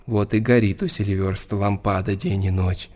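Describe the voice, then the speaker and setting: An actor narrating as a grave chronicler of monastery life in a radio play, restrained and matter-of-fact. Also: male voice, fairly low pitch, steady tone, moderate pace, a little mellow, lightly acted. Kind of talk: scripted radio drama narration.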